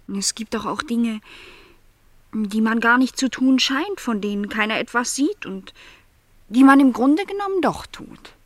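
An actress playing an earnest, lonely, vulnerable young housemaid in a literary radio drama, breathy and softly insistent as she questions. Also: mid-range voice, no room sound, lightly acted. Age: young adult. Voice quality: breathy, often near a whisper.

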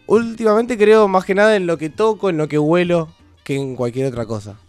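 A male guest with a Rioplatense accent talks casually on the radio in a fairly high voice. He thinks aloud, pausing and hesitating as he builds his answers. Quiet music runs behind him. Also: clear, resonant tone, no room sound. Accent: Rioplatense Spanish. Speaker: interview guest.

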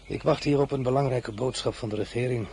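An actor playing a proud fallen emperor in a radio play, who sounds wistful and then curt. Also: male voice, medium pitch, a little fast, a little mellow, a little hiss or hum, close to an everyday voice.

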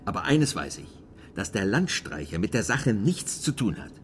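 Defensive provincial mayor, low voice, big swings in pitch, insistent, radio drama, faint background noise. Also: male voice, very animated, moderate pace. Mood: urgent, threatening. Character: small-town French mayor, male, defensive, wary of outsiders. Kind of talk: radio crime drama acting.

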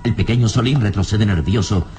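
A man's voice: on the low side, fairly quick, dark tone, lightly acted. A man narrating an old-time Spanish-language radio serial in a solemn, suspenseful, scripted style.

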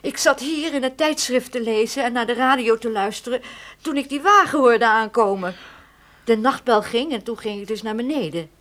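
A woman plays an injured night porter in a radio play. Her voice is high, and she speaks haltingly, shaken and full of aggrieved indignation. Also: fairly bright tone, natural voice. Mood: shaken, indignant.

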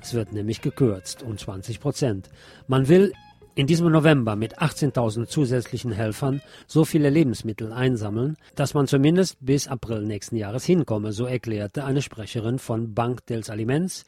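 A man's voice: medium pitch, normal speed, warm tone, breathy edge, no echo. A man hosting a radio news programme, reading reports and adding his own commentary. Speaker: radio host.

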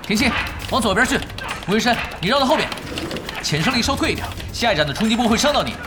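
A man playing a laid-back expert gamer who works at an internet cafe, offhand and calm, in an audio drama. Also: very high voice, quick pace, loud music behind the voice. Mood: commanding. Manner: nonchalant, offhand, calmly giving orders. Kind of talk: Chinese audio drama acting.

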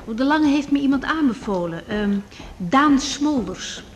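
An actress playing a frank, kind young female doctor in a radio drama, informal, gentle and a little hesitant. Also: high voice, animated, measured pace, breathy edge, exaggerated voice. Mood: friendly, brisk. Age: young adult. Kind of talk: 1980s Dutch radio drama acting.

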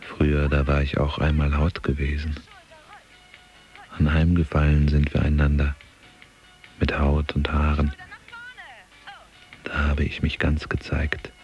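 A man's voice: a bass voice, monotone, unhurried, long pauses, a little mellow, highs cut off, soft background music. A man playing a prematurely worn, self-regarding man in an audio drama, giving terse, wistful pronouncements.

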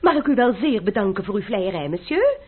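A woman's voice: medium pitch, very animated, fairly quick, husky. A woman playing a haughty flirtatious mademoiselle. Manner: haughty, teasing. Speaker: mademoiselle de Breze. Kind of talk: radio play acting. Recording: lo-fi old radio recording.

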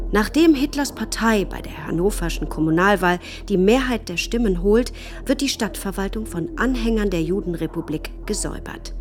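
A resolute, caring woman of the 1930s played by an actress recounts events in a radio play. Her delivery is that of a dramatised documentary. Clearly audible music accompanies her. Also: medium pitch, lightly acted. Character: resolute, caring wife of a persecuted 1930s German professor.

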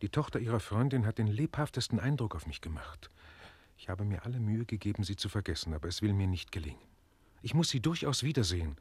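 An actor in a German radio play voicing a proud, lovesick marquis with an impassioned, urgent manner. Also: male voice, fairly low pitch, a little mellow, husky, no echo. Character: lovesick French marquis, impetuous, proud, desperate aristocrat. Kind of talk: German radio drama acting.

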